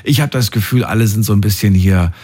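Give me a man in his late thirties hosting a radio phone-in, with a lively, chatty, slightly low voice. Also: fast talker, husky. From Thuringia, Germany. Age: late 30s. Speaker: radio call-in host. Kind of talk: casual phone-in chat. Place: radio studio.

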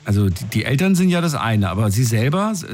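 Husky guy hosting a radio call-in, chatty, asks lots of questions, voice goes up and down a lot. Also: mid-range voice, sing-song, fast, a little mellow. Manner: friendly, probing questions with many restarts. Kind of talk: casual call-in radio talk.

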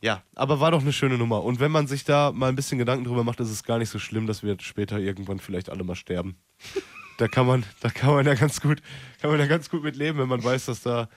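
A man's voice: mid-range voice, fairly even intonation, fast, no room sound. A young man hosting a casual radio show, joking loosely and letting his sentences trail off.

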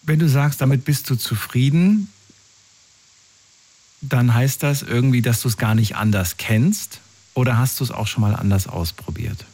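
A man's voice: mid-range voice, measured pace, darkish tone. A man hosting a radio phone-in, chatty and encouraging with callers. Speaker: radio call-in host.

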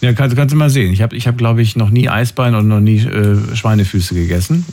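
A man's voice: fairly low pitch, fairly quick, dark tone. A man hosting a radio call-in, chatting casually and informally with callers on first-name terms. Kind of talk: casual phone-in talk with callers.

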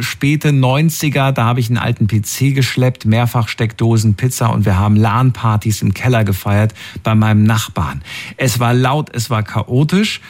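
A man hosting a call-in radio show, reading out listener messages and chatting with callers in a lively way. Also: medium pitch. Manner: chatty, animated, quick reactions.

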